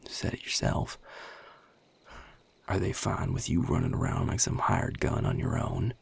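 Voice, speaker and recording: Guy voicing a tired southern vampire dude, super gravelly n breathy, guarded drawl that swings around a lot, audio rp style. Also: big pitch swings, moderate pace, heavily acted. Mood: weary, resolute. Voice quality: very deep, gravelly, husky and breathy. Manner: low-voiced, drawling, folksy and plain-spoken. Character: weary, protective Southern male vampire sire, gruff and guarded. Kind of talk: audio roleplay drama, intimate one-sided dialogue.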